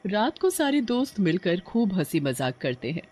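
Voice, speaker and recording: Lively, swooping story narrator. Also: medium pitch, moderate pace. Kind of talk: scripted horror cartoon story narration.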